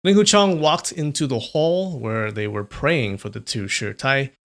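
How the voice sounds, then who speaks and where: Young man, California-raised, casual storyteller, gravelly mid-range voice, chatty. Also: mid-range voice, a little slow, fairly bright tone. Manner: chatty, answers chat between passages. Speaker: host reading a novel aloud. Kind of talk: casual livestream reading and chat.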